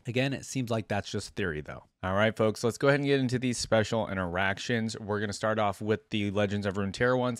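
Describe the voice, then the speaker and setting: A man's voice: fairly low pitch, no echo, clean studio sound. A man hosting a reaction video, reading aloud and commenting in a casual, conversational way.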